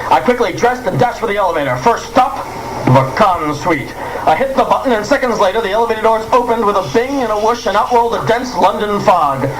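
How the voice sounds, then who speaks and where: A man playing a wisecracking hardboiled secret agent who narrates his own case deadpan, in a live comedy radio play. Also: high-pitched, a little fast. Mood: dramatic narrating. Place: live performance, taped. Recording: very noisy old cassette tape recording.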